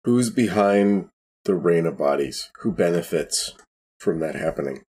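A man playing a tabletop game, asking questions casually in a hesitant, halting way. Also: low voice, lively intonation, a little mellow, slightly rough.

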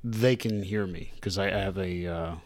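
A man co-hosting a podcast in casual chat, with a loud voice and a jokey, chatty way of talking. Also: deep voice, normal speed. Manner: jokey and chatty, laughs often. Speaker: podcast co-host.

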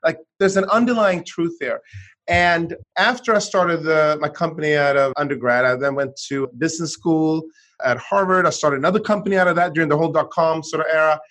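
Middle-aged man from Sweden, guest author, lively voice on the higher side, restarts a lot. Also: brisk. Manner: animated storytelling, frequent restarts. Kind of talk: conversational interview.

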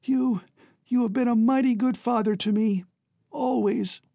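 Guy reading as earnest young son in an adventure audiobook, pitched up high, emotional, soft mellow tone. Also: measured pace, no room sound. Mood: choked farewell. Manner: choked, emotional, pleading delivery. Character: earnest, devoted young man bidding his family farewell. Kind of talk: dramatized audiobook dialogue.

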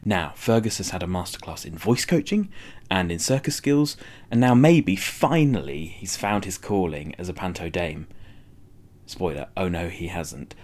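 A man with a notably low voice presents a podcast. He speaks in a light linking style, over faint background noise. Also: animated, normal speed, a little mellow. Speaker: podcast host.

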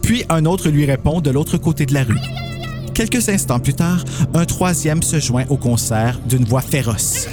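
A man's voice: on the high side, lively intonation, a little slow, over music. A man reading scripted narration for a dramatised horror story, as its narrator.

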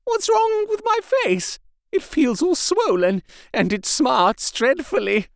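Man doing a high, breathless dying patient, slow, strained, pleading. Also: wildly swooping pitch, vocal fry, no echo, clean studio sound, heavily acted. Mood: dazed. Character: dying male asylum patient, weak, desperate, gasping. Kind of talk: audiobook character reading.

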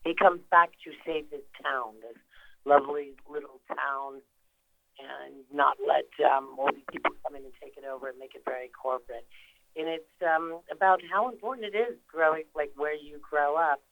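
Older actress chatting on a phone-in. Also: female voice, sits low, moderate pace, vocal fry, dry recording, phone-quality sound. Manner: chatty, rambling with many fillers and restarts. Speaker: actress guest. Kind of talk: phone-in interview. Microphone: phone call.

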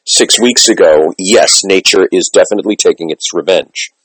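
A man interviewing someone conversationally, wordy and halting, often repeating words as he works toward his point. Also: low voice, fairly bright tone, slightly rough, dry recording, poor audio. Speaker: interviewer, host.

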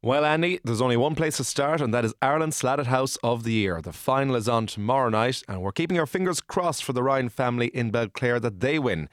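An actor playing a keen TV critic on a local radio show in a comedy radio play. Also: male voice, medium pitch, fairly quick, hardly any pauses, no room sound, clean studio sound, natural voice.

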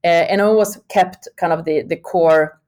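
A woman's voice: fairly low pitch, moderate pace, a little mellow. A middle-aged woman from Sweden, a podcast guest talking thoughtfully with many fillers and restarts. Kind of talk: podcast interview.

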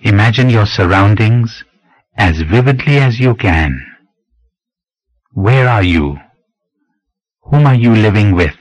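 A man with a fairly low voice leads a guided meditation. He speaks very slowly and deliberately, leaving pauses between phrases as he gives instruction. The recording is close and dry. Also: lively intonation, no room sound, muffled recording. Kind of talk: guided meditation, scripted spiritual narration.

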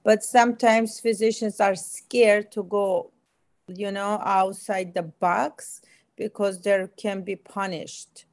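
A woman's voice: mid-range voice, fairly even intonation, slow, darkish tone, no room sound. A middle-aged woman from Armenia with an Armenian accent, answering as an interview guest in an enthusiastic, emphatic way. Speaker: guest, aesthetic medicine physician.

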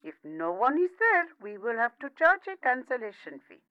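A dull, dry phone sound carries a courteous female cable representative. Her pitch rises and falls dramatically as she recites her lines with literal-minded politeness. It is comic audio drama. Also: very animated, moderate pace, pushed and bright, clean tone, no room sound. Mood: brisk courtesy. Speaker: customer service representative. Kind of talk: comedic audio drama acting.

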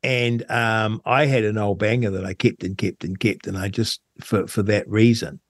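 A man with a New Zealand accent talking in a casual radio discussion as host, with a hesitant, halting delivery. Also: on the low side, steady tone, no room sound, clean studio sound. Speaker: talk show host.

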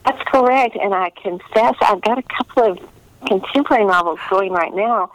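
A woman's voice: mid-range voice, moderate pace, no echo, low-quality recording. A middle-aged American woman, a novelist, speaking earnestly and reflectively in a conversational interview. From United States. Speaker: historical romance novelist being interviewed.

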